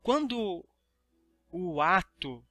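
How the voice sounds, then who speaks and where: A man's voice: high-pitched, very animated, extremely slow, bright, forceful tone, no room sound, faint music behind. A Brazilian man teaching a study lesson in a didactic way, reading aloud and explaining. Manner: didactic, checks understanding with tag questions.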